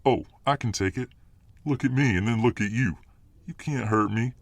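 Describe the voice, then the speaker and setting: A man's voice: medium pitch, moderate pace, no echo, close to an everyday voice. A man playing a dominant, boastful, hot-tempered muscular fireman boyfriend in an ASMR roleplay audio drama. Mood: challenging, swaggering. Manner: boastful, cocky swagger.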